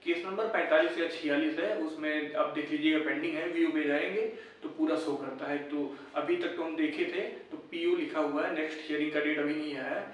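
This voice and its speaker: A man's voice: on the high side, steady tone, fairly quick. A man, giving informal, blunt, argumentative commentary as an online host, full of rhetorical questions. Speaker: YouTube exam-update host. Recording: echoey room, distant mic.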